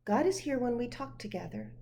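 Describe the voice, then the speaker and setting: A woman voicing a gentle, patient parent answering a child in a measured, reassuring way, from a picture book. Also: on the high side, sing-song, slight background noise.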